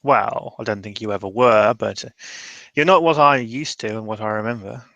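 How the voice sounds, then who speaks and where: A man's voice: lowish voice, vocal fry, fairly bright tone, no echo, muffled recording. Man playing a musing, courteous hermit mage, dry-sounding room. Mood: wry. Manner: halting, musing, old-fashioned wording. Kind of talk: tabletop roleplay, in character.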